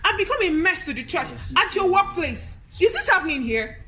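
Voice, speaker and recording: An actress playing a righteous, outraged motorist with a Nigerian accent, indignant and declamatory in a radio drama. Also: female voice, very high-pitched, sing-song, brisk, sharp tone, heavily acted.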